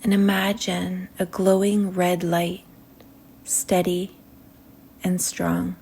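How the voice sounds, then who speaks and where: A woman leading a guided meditation in a soothing manner, speaking in short phrases with long pauses that trail softly toward a whisper. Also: lowish voice, completely flat delivery, vocal fry, slightly rough.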